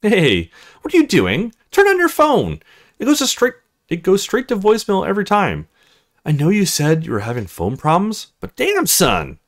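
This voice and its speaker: A man's voice: sits high, huge pitch swings, moderate pace, pitched above the performer's own voice, full character voice. A spunky young woman , put on by a man, speaks in a raised, swooping voice. She is playful and teasing, a bouncy visual novel character.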